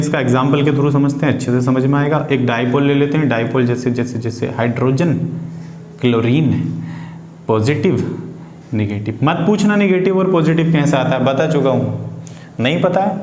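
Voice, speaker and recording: A man's voice: mid-range voice, normal speed, a little mellow. A man teaching chemistry informally, repeating key phrases, asking and answering his own questions and mixing in English technical terms. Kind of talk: informal classroom-style lesson for students. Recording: roomy echo with faint background noise.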